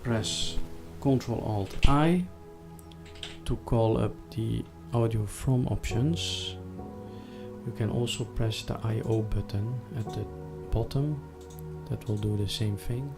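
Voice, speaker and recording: A man with a fairly low voice hosts an instructional tutorial. He speaks very slowly and deliberately, step by step, with music behind him. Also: vocal fry. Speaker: tutorial host. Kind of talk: scripted step-by-step software tutorial.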